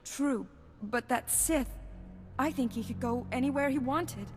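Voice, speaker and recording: An actress playing a frightened young research worker and anxious survivor in a video game, hesitant and emotional. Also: female voice, high voice, unhurried, in a large hall, over music. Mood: uneasy. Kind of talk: video game cutscene acting.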